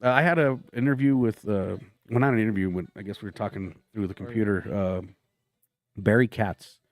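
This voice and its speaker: A comedian with a Mexican-American accent in a casual podcast chat, telling stories with lots of swearing and sudden voices. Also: male voice, fairly low pitch, brisk. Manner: animated storytelling, profane, laughs often, slips into voices. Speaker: comedian guest.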